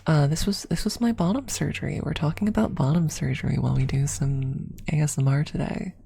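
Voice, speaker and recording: A woman speaking softly and often in a whisper, telling a personal story as an ASMR streamer, close to the microphone. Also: low voice, brisk, vocal fry, husky. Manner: hushed, often slipping into whisper. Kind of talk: soft-spoken ASMR storytelling.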